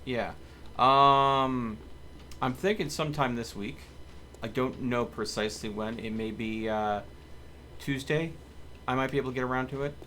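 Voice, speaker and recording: A man's voice: mid-range voice, normal speed, pauses often, bright, forceful tone, slight background noise. A man chatting casually as a game streamer, with playful, joking asides. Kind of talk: casual gaming livestream chat.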